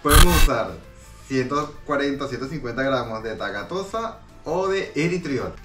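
Lively middle-aged man, off-mic in kitchen. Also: medium pitch, moderate pace. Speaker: co-host cook. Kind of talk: casual recipe demonstration. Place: home kitchen, indoors. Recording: distant voice under loud music.

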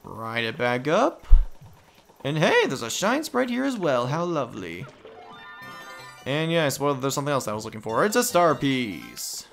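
Animated man doing video game commentary. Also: medium pitch, unhurried, vocal fry. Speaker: let's play host. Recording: game music behind voice. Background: video game music.

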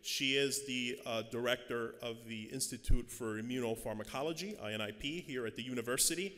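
A middle-aged man emceeing an award ceremony from a podium mic in a large hall, talking in a casual, halting way. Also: mid-range voice, bright, forceful tone. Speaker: event co-chair and emcee. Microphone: podium microphone. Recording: long hall reverb.

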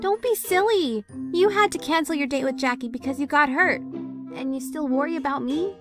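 A woman's voice: high voice, moderate pace, lightly acted. A woman voicing an anxious, impulsive teenage girl with magic, emotional and fretful, in a cartoon comic dub. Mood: wistful. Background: background music.

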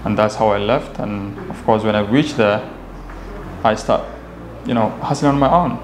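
A man's voice: lowish voice, moderate pace. A young man from Somalia with a Somali accent, a native Somali speaker, telling his own story casually as an interview guest. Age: young adult. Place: indoor office lounge with glass walls. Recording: echoey room, faint background noise.

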